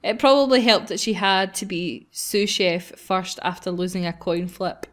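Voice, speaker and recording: A woman's voice: medium pitch, moderate pace, fairly bright tone. A woman hosting a gaming video, reading a visual novel aloud and breaking into casual banter.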